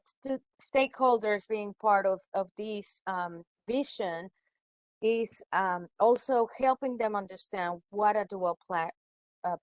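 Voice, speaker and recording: A woman's voice: mid-range voice, no room sound, low-quality recording. A woman who is a native Spanish speaker, presenting in a webinar as a school educator and stressing her key words.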